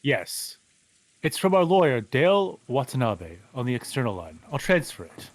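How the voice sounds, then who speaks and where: A man's voice: mid-range voice, big pitch swings, moderate pace, dry recording, poor audio. Curt, polite secretary, man reading, dry mic. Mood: dutiful.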